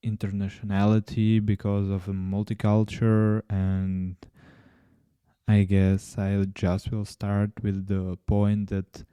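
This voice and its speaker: Slow, mellow Ukrainian-accented man. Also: lowish voice, monotone, clear, resonant tone, dry recording. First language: Ukrainian and Russian. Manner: halting, many pauses and restarts, non-native grammar. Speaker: podcast host. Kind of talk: casual solo podcast talk.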